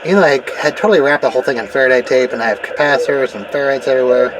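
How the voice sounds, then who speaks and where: A man's voice: mid-range voice, very fast. A man giving a casual hands-on demonstration, thinking aloud in a hesitant, self-doubting way as he works. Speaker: DIY car radio tinkerer narrating repair video. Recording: clear background noise, vehicle ambience. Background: vehicle ambience and noise.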